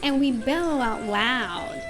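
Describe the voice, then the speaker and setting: A mighty storybook walrus played by a woman boasts at a slow pace with music behind. It is grumpy and proud of itself. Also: on the high side, animated. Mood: proud, boastful. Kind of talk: children's storybook read-aloud.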